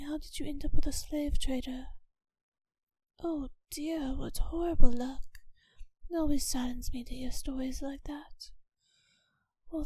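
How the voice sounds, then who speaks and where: A woman playing a kind but possessive, wealthy vampire mistress in a soft ASMR audio roleplay, speaking in a gentle, breathy near-whisper with genteel, unhurried reassurance. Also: high voice, no room sound. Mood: kindly, sympathetic.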